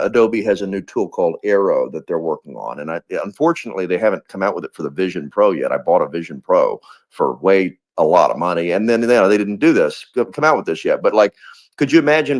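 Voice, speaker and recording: Low-voiced man chatting loosely. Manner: rambling, full of restarts. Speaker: guest speaker in a live audio space. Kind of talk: casual chat.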